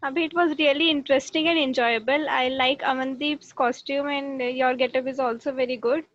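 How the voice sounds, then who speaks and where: A very high, youthful female voice speaks casually as a student to classmates. The recording is muffled, from a video-call microphone. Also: fairly even intonation, a little fast. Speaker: student giving feedback to classmates. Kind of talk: casual praise in online class.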